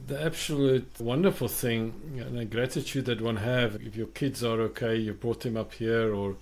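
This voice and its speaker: Thoughtful South African podcast host. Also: male voice, medium pitch, steady tone, normal speed, a little mellow. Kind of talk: reflective podcast conversation.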